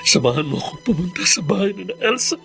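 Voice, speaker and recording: An actor playing a remorseful, troubled father in a Filipino radio drama, speaking in a halting, earnest, emotional way. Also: high voice, very animated, creaky, fairly bright tone, soft background music, exaggerated voice. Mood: anguished, confessional. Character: remorseful adult father, troubled by a family secret.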